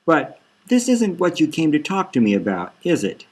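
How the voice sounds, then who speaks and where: A man voicing a smooth, probing bull-man doctor in an audiobook, asking calm questions. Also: fairly high pitch, normal speed, voice pushed up, exaggerated voice.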